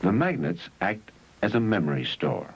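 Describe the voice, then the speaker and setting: A man reads scripted narration for an educational film in measured, instructional phrases, on an old 1971 film soundtrack. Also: on the low side, sing-song, noisy background.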